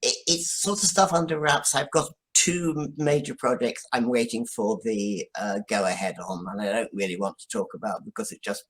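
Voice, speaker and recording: An older man, a writer being interviewed, talking casually in a halting, modest way. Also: fairly low pitch, a bit bright, low-quality recording.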